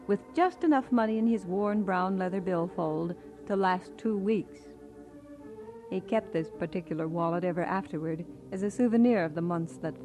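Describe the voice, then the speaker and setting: A woman giving measured, scripted narration as the storyteller of a radio drama. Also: fairly low pitch, a little mellow, rough recording. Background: music.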